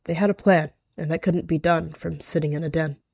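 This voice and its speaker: A woman's voice: low-pitched, fairly even intonation, brisk, creaky, a little mellow, no room sound. A woman voicing a scheming, aloof rogue cat in a roleplay, guarded and curt.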